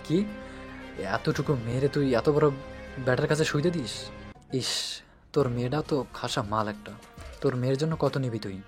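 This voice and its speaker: A man plays a crude, drunken henchman in an audio story. He sneers and slurs insults in coarse slang, at a middle pitch, with faint music underneath. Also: normal speed, long pauses, natural voice. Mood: leering. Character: crude drunken male thug, leering and menacing.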